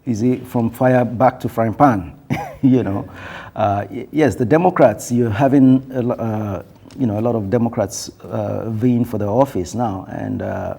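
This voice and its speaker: A man speaking on a television panel, thoughtful and halting, with many fillers and restarts. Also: mid-range voice, creaky, mellow, husky. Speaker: panel guest. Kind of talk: panel discussion.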